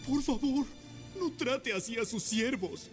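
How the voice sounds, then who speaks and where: A man's voice: very high voice, expressive, unhurried, faint music behind. A man playing an aggrieved slave foreman in a dramatized audio Bible, plaintive and wailing. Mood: pleading. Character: anguished, aggrieved Israelite slave foreman, pleading.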